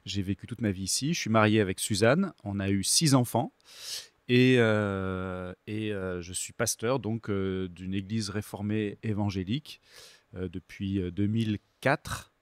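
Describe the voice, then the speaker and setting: A middle-aged man from France, a pastor, explaining his views as a podcast guest, thoughtful and halting with many fillers. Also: on the low side, no echo.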